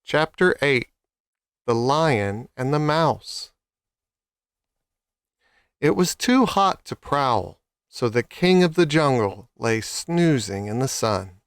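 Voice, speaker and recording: A man's voice: medium pitch, animated, takes it slowly, pauses often, clear, resonant tone, no room sound, studio quality. A man reading scripted children's stories as a storyteller.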